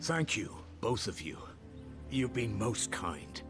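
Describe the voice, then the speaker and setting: A man's voice: lowish voice, sing-song, slow pace, over music. A man acting a weary, deferential villager in a video game, with an earnest and careworn manner. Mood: grateful, wistful.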